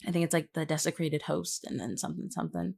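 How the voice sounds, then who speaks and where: A woman's voice: low-pitched, steady tone, fast talker, vocal fry, a little mellow, slightly rough. A woman chatting casually in a wry, teasing way as a podcast co-host. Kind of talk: casual behind-the-scenes podcast chat.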